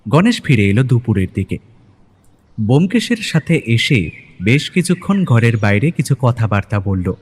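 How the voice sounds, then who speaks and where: A man's voice: mid-range voice, big pitch swings, moderate pace, a little hiss or hum. A man narrating a detective story in the first person, in a dramatic scripted audio-story style. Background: background music.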